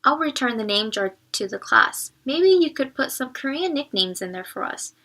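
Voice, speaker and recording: A woman's voice: sits high, big pitch swings, normal speed, sharp tone, recorded from a distance, full character voice. A woman voicing an earnest, friendly young schoolboy in a storybook, eager and a little sheepish.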